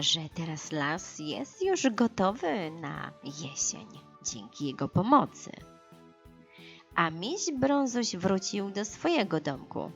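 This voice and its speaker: A woman reads a children's story in a lowish, very animated voice. She takes her time over every sentence. Music plays faintly behind her. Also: vocal fry, highs cut off. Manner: expressive, unhurried, dramatized for children. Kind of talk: scripted children's audiobook narration.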